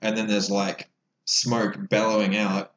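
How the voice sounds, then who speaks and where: A man with a fairly low voice chats casually as a podcast co-host. The room is echoey, and there is noticeable noise behind him. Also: level tone, unhurried, a bit bright, muffled recording. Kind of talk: casual film discussion.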